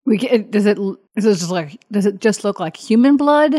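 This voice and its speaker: Woman, Chicago-area Midwest accent, hint of Texas drawl, rapid slurred speech, giggly. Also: medium pitch, lively intonation, dark tone. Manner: fast talker, slurs words, laughs often. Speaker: podcast co-host and tabletop player. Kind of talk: casual blooper-reel banter.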